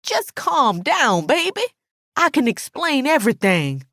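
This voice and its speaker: A woman's voice: lowish voice, big pitch swings, unhurried, no room sound, clean studio sound. A woman playing a guilty, pleading friend. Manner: imploring, placating. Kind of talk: dramatic audiobook character dialogue.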